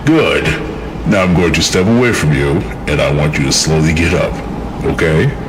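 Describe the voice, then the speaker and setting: A man playing an imposing, stern winged monster lord in a fantasy audio drama, speaking in a measured, condescending, commanding way. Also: a bass voice, background noise.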